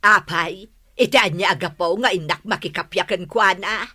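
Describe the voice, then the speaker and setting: A woman's voice: fairly low pitch, moderate pace, sharp tone, no echo, studio quality. A woman playing a proud, obstinate older mother who scolds and exclaims, in an Ilocano comedy radio drama. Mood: stubborn.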